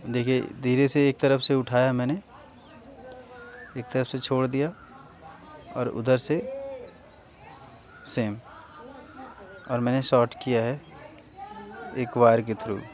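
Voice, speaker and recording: A man's voice: mid-range voice, level tone, slow pace, long pauses, crowd noise. An Indian man giving a casual hands-on repair tutorial, speaking informally and instructing viewers directly. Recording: dull lo-fi recording with faint noise.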